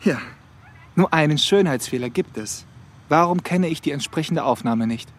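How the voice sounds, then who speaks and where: A man's voice: fairly high pitch, animated, normal speed, reverberant, lightly acted. An actor playing a correct, sceptical male police detective in a German radio crime drama, formal with wry understatement.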